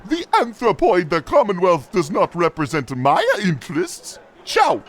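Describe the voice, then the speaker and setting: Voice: medium pitch, wildly swooping pitch, unhurried, crowd noise. An actor voicing a stubborn, flustered alien head chef in a sci-fi comedy audio drama, with a mock Italian accent and stumbling speech that mixes in foreign words. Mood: indignant. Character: blustery, stubborn male alien head chef with many arms.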